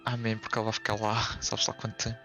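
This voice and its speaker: Casting: man, casual streamer commentary, gravelly and offhand, over game music. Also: lowish voice, monotone, very fast. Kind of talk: casual live gameplay commentary. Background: video game music.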